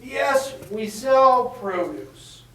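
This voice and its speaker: A distant, echoey recording of a man acting as a grudging shop owner on the witness stand. The speech is slow and evasive, in the manner of a puppet courtroom re-enactment. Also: very high-pitched, expressive, bright, forceful tone, exaggerated voice. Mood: puzzled, defensive. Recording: echoey room, distant mic.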